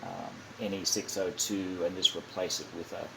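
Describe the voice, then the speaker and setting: A man giving a casual, unscripted hands-on demonstration, halting and thinking aloud as he goes, often correcting himself. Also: sits low, fairly even intonation. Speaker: hobbyist demonstrating a kit build. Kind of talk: casual unscripted how-to narration. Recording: clearly noisy amateur home recording.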